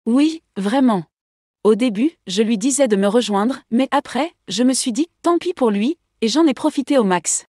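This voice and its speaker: A woman's voice: on the high side, expressive, moderate pace, warm tone, dry recording, studio quality. A woman playing a casual, chatty friend in a scripted exam dialogue. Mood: relaxed, chatty. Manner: informal, colloquial.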